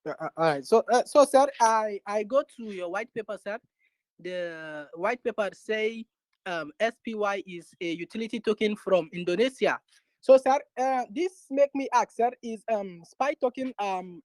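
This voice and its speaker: A man's voice: high-pitched, moderate pace, darkish tone, no echo. A man from India with an Indian accent, asking a question on a voice chat, polite and hesitant, saying sir again and again. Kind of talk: casual voice-chat Q&A.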